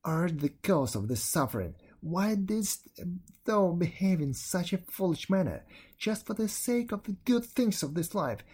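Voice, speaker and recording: Reader doing a sly holy man, grave and formal, husky tone. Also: mid-range voice, big pitch swings, a little slow, a little mellow, voice pushed up. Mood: scolding. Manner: solemn, old-fashioned speech. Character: shrewd holy man in a prison.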